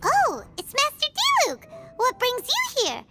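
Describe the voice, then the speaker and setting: Squeaky tiny sprite girl, excitable, very high, bouncy pitch, music behind. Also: measured pace, heavily acted. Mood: excited. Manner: chirpy, refers to herself in third person. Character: tiny excitable floating female companion sprite. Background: background music.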